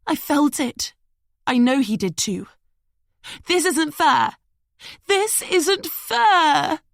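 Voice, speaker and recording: A woman playing a shrill, unhinged rich woman. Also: gravelly, no echo, studio quality, exaggerated voice. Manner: shrill, whining, high-strung.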